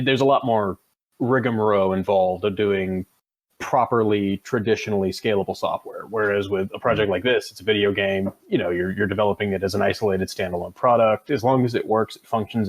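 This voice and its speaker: American man, low and husky, casual developer chat, jargon-heavy, self-deprecating. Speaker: video game developer.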